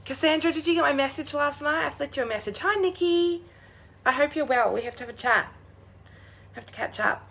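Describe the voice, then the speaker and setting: A young woman with a hoarse, croaky voice chatting bubbly on a live stream, greeting viewers by name. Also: sits high, fairly quick, a bit bright. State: hoarse, losing her voice. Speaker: crafting demonstrator hosting a live stream.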